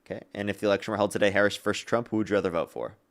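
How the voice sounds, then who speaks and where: A young man hosting a live debate stream, briskly taking callers and repeating his stock lines to viewers. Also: fairly low pitch, steady tone. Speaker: livestream debate host. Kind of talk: live-stream call-in chat.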